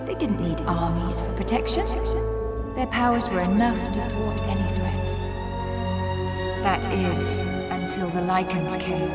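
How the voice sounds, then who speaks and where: A woman voicing a gentle young girl with mystical gifts in a fantasy video game, speaking in a soft, earnest, solemn way. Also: mid-range voice, wildly swooping pitch, unhurried, over loud music. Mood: wistful, solemn. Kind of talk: dramatic video game acting.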